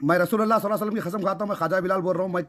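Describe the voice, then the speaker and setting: A man's voice: high voice, fairly even intonation, racing, a bit bright. A man with a Hyderabadi accent talking insistently and emphatically on a recorded phone call, often repeating himself. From Hyderabad, India. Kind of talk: phone conversation, impassioned persuading.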